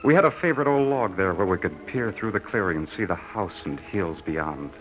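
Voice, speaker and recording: A man's voice: sits low, lively intonation, brisk. An actor playing an earnest, lovelorn young farm-raised soldier, telling his story in a folksy, solemn way in 1940s radio drama style. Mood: wistful. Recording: muffled old radio recording with music. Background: dramatic music.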